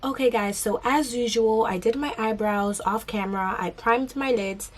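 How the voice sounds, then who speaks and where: Raspy hoarse young woman vlogger. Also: a little high, moderate pace. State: hoarse, lost voice. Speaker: beauty vlogger. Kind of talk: casual makeup tutorial chat.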